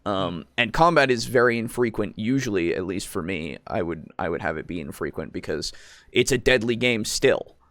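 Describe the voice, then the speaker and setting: A man's voice: on the low side, animated, moderate pace, fairly bright tone, dry recording. Man in casual rambling discussion, dry sound. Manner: rambling, explanatory, profane. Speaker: podcast co-host. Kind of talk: casual discussion of tabletop roleplaying.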